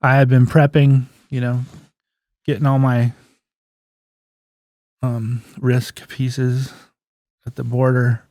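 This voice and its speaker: Male, 50s, interview guest. Mid-pitched, mellow delivery, anecdotal and plain-spoken, casual storyteller. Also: little pitch movement, slow, pauses often, no echo. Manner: anecdotal, acts out remembered dialogue, folksy plain-spoken phrasing. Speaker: guest, physical therapist and clinic owner.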